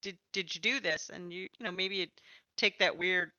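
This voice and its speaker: A woman's voice: lowish voice, normal speed, pushed and bright, no room sound, highs cut off. A woman hosting a casual interview about tabletop games, talking in an encouraging, reassuring manner. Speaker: podcast host and interviewer, also a game master.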